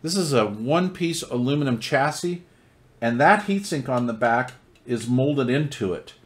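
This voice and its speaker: A man's voice: mid-range voice, lively intonation, normal speed. Folksy American man reviewing gear. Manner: folksy, conversational enthusiast. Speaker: radio reviewer. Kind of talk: casual product review and demonstration.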